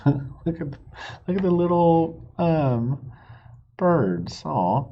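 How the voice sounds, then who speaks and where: A man reads a children's story aloud with big swings in pitch and an unhurried pace. He speaks simply to young listeners and puts questions to them. The recording is a little dull. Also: expressive. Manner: asks the listeners questions, explains words simply. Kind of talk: expressive read-aloud with asides to young listeners.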